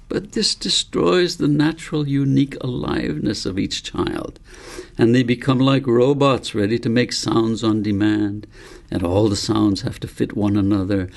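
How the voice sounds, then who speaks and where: A man reading the part of a wise old grandfather in a storybook, calm and patient, explaining things in a measured, philosophical way. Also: mid-range voice, vocal fry.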